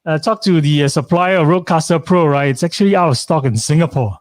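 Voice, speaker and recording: A man's voice: on the high side, fast talker. A man hosting a casual interview chat, talking in a chatty way with many fillers and asking questions. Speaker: event host.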